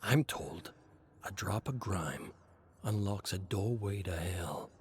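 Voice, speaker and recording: A man voicing a cold, menacing agent who interrogates in a deliberate, threatening way. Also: low-pitched, slight background noise. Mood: cold menace.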